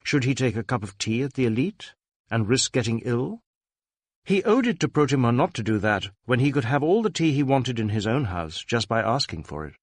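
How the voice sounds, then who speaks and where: A male narrator reads detective fiction in a scripted, dramatic storytelling style. His pitch is mid-range and moves expressively, and the sound is dry and close. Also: big pitch swings, brisk, no echo. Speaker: audiobook narrator.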